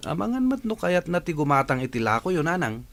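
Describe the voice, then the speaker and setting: Casting: calm, puzzled Ilocano son in a radio drama, slightly high male voice. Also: fast.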